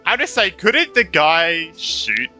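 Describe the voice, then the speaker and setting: A man streaming a game with casual, excitable, slangy reaction commentary. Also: fairly high pitch, takes it slowly, faint music behind. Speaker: gaming streamer.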